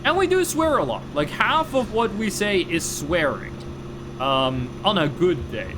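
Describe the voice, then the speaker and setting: A young Northern Norwegian man with a Norwegian accent chats casually on a game stream, rambling through stories and slipping into mock-formal asides. His voice sits high and swings widely up and down. A faint hum of ambience lies under the recording. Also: sing-song, a little slow. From Northern Norway. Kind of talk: casual livestream chat while gaming.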